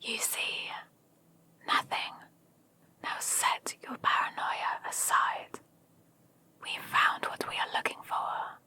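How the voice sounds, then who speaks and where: A woman voicing a villain muttering tersely to herself in an insistent breathy whisper, in an ASMR roleplay. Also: slow pace, pauses often, sharp tone. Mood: scornful, insistent.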